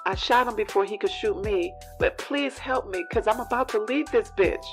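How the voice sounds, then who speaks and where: A woman's voice: medium pitch, normal speed, lightly acted. A woman playing a feisty, streetwise mistress in an audio story, blunt and defiant, with music in the background. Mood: agitated. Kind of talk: dramatic audio story acting.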